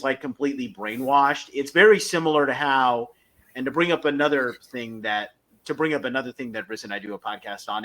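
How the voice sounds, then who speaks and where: A man with a bright, forceful voice and an average pitch talks through a story as a podcast co-host. His delivery is casual and explanatory, halting between phrases and leaning hard on key words. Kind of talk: casual review recap.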